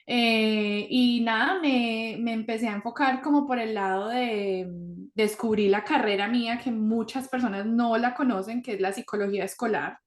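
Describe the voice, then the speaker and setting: Animated young woman in an echoey room. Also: on the high side, normal speed. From born in Colombia, raised in South Florida. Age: young adult. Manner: animated storytelling with many fillers. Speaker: guest, school psychologist. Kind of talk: casual interview.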